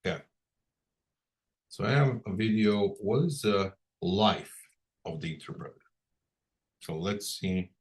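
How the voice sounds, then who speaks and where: Low, husky man teaching informally. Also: expressive, slow pace, pauses often. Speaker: instructor training interpreters. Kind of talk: informal spoken lesson.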